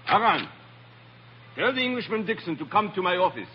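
An actor with a French accent playing a courteous, guarded shipping line manager in a 1940s radio drama. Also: male voice, high-pitched, very animated, a little fast. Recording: muffled, hissy old radio recording.

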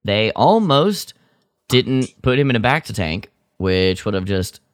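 A man co-hosting a podcast, chatting casually through a story recap in a halting, loosely phrased way that often trails off. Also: lowish voice, big pitch swings, no echo, clean studio sound. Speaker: podcast co-host.

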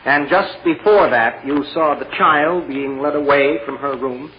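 A man acting a shrewd, assured defense lawyer in an old radio drama, deliberate and coaxing with a wry edge. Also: a little high, close to an everyday voice. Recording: muffled, noisy old radio recording.

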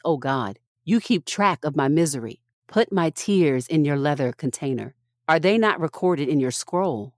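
A woman with a low-pitched voice reads scripture as a podcast host. Her delivery is measured and deliberate, phrased in short, clear lines. The sound is dry, with very little room on it. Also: no echo.

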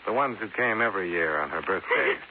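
An actor playing a cool, persistent insurance investigator who also narrates a 1950s radio drama, clipped, dry and matter-of-fact. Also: male voice, low voice, animated, fairly quick. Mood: insistent. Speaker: lead and narrator. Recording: noisy, narrow 1950s radio broadcast.

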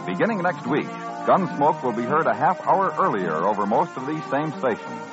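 Animated old-time American radio announcer man. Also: medium pitch, moderate pace. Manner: formal, emphatic delivery. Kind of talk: 1950s network radio announcing. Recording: muffled old radio broadcast, faint music.

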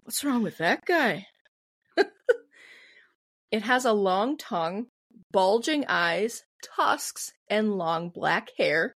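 Woman, Midwestern American accent, medium pitch, dry recording, playful casual storyteller, giggly. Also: animated, slow, long pauses, fairly bright tone, no echo. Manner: giggly, playful, acts out quotes. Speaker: podcast co-host. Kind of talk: casual comedic history storytelling.

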